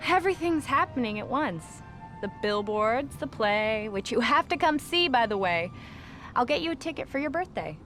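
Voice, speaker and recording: A bubbly young actress chats in a slightly high, lively voice. She teases warmly. Faint music sits beneath her. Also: moderate pace. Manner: bubbly, teasing. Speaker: actress and model.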